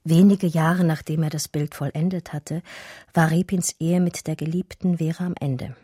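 A woman's voice: low-pitched, steady tone, moderate pace, husky, dry recording, studio quality. An actress playing a pensive, grieving female art historian in an intimate, reflective radio play monologue. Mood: reflective, hushed. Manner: reflective, lyrical, hushed. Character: Natascha, pensive art historian grieving her lost lover.

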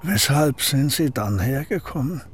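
A man's voice: medium pitch, lively intonation, measured pace, breathy edge. An actor playing an aging, reclusive male painter who answers in terse, clipped replies in a radio drama. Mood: guarded.

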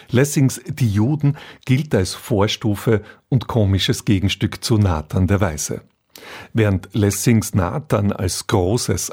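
Low, dark, husky male presenter. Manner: measured, formal. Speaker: radio presenter. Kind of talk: scripted narration.

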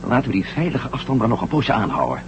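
Actor as animated astronaut hero, muffled noisy recording. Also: male voice, mid-range voice, fast talker, lightly acted. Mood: brisk, calm. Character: bold, quick-witted male former astronaut and reporter. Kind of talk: Dutch radio drama acting. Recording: muffled, noisy old radio drama recording.

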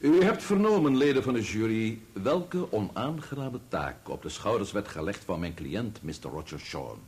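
A man's voice: fairly low pitch, big pitch swings, normal speed, darkish tone. An actor in a Dutch radio play playing a solemn defence barrister, formal and emphatic as he addresses a jury. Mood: solemn, persuasive.

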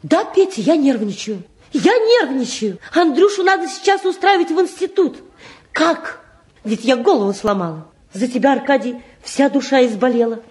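An anxious middle-aged mother in a vintage Soviet radio play. Her voice is high and very animated. She fusses and pleads, repeating herself in agitation. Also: moderate pace. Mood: anxious, agitated. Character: anxious, fretful mother and housewife, fussing over her sons. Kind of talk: mid-century Soviet radio-play acting.

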